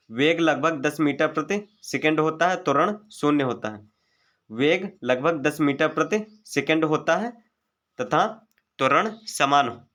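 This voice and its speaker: A man's voice: fairly high pitch, fairly bright tone. A man teaching an exam-prep lesson, methodically reading out multiple-choice questions, their options and the right answers. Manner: methodical, formulaic delivery.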